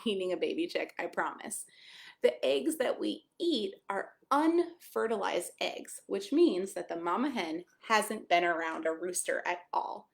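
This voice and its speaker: A woman's voice: medium pitch, vocal fry. A woman reading a picture book aloud to young children, expressively, with friendly asides, questions and exclamations. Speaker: pastor reading a children's story.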